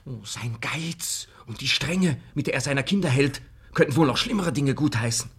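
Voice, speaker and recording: An actor playing an impetuous young suitor in a radio play, courtly and smooth-talking. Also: male voice, medium pitch, animated, moderate pace, close to an everyday voice. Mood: impassioned, impatient. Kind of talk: theatrical radio play acting.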